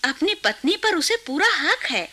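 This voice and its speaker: Middle-aged wife and mother, anxious, reproachful, very high bright voice, animated radio drama. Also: moderate pace, full character voice. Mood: nagging, concerned.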